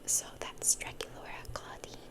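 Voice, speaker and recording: A young woman hosting ASMR, rambling casually in a whisper and repeating words and phrases for effect. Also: normal speed. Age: young adult. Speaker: ASMR video host.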